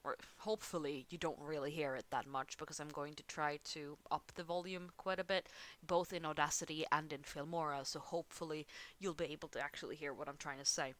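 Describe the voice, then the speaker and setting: A woman's voice: sits low, steady tone, a little fast, a bit bright. A woman from Sweden with a Swedish accent, speaking English as a second language, chatting casually into a close headset mic while she draws. She rambles and laughs at herself often. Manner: rambling, giggly, self-deprecating. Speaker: art channel host. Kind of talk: casual chat over drawing. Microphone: headset close to mouth.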